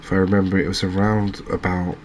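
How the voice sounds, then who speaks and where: A man giving relaxed ASMR commentary over a fishing video game, chatting to viewers and often checking in with tag questions. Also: deep voice, brisk, a little hiss or hum. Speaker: gaming video host.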